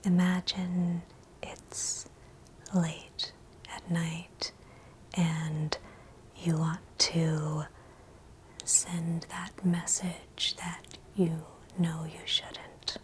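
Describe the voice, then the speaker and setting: Female ASMR meditation guide: breathy whisper, even and unhurried with long pauses, soothing, faint ambient noise. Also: fairly low pitch. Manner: gentle, soothing, with long pauses between short phrases.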